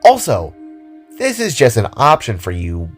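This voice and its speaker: A man's voice: medium pitch, huge pitch swings, a little slow, soft background music. A man voicing an upbeat, caring teenage girl heroine with an earnest, encouraging manner, in an audio drama. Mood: confident, earnest.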